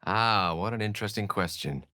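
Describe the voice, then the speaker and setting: An actor voicing a learned, courteous wizard in a video game, with eloquent, courtly phrasing and wry asides. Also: male voice, lowish voice, normal speed, sharp tone, no room sound, clean studio sound. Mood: politely curious. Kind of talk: video game character voice lines.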